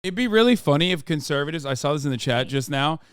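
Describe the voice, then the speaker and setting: A man's voice: a little high, lively intonation, fast talker, clear, resonant tone, no room sound, clean studio sound. A man in his thirties, a Twitch streamer whose first language is Turkish, ranting with profanity in a live reaction commentary. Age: 30s. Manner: heated, profane rant with frequent fillers.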